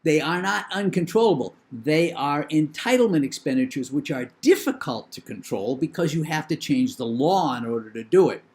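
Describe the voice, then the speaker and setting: An older man with a New England accent giving a recorded lecture in a measured, opinionated way, with thinking pauses and fillers. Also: fairly high pitch, lively intonation, darkish tone.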